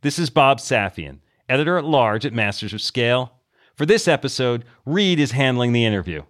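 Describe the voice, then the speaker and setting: A man delivers a scripted introduction as a podcast host. His pitch sits in the middle but moves in a very animated way. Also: normal speed.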